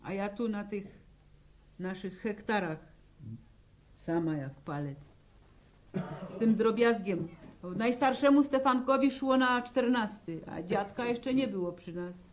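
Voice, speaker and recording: An actress playing a weary peasant widow with a rural Polish accent, telling her story haltingly in a 1960s radio drama. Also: medium pitch, a little hiss or hum, lightly acted. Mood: sorrowful. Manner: slow, halting storytelling in plain folk speech. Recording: old 1960 radio drama recording.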